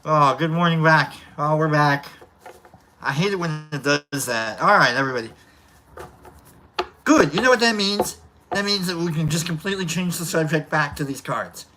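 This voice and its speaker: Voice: medium pitch, normal speed, noisy background. A live stream host with a nasal voice, chatting casually and rambling while greeting viewers by name. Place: outdoor lounge by a fire pit.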